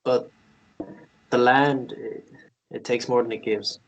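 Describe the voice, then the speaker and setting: A man's voice: medium pitch, steady tone, gravelly, muffled recording, slight background noise. Man acting a shy, hesitant ghost miner. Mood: apologetic, protective. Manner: hesitant, halting, earnest. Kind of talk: table read of a fantasy romance script.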